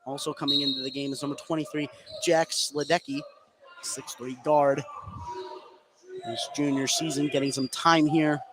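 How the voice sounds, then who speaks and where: Guy doing live bball play by play, voice on the high side, lots of gym noise behind him. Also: normal speed. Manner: narrates action continuously, excitable on big plays. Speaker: play-by-play basketball commentator. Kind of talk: live radio sports commentary. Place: courtside in a sports gym during a game.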